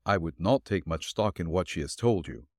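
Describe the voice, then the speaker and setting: A man voicing a calm, shrewd detective who questions dryly and evenly. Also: lowish voice, dry recording. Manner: measured, dry questioning.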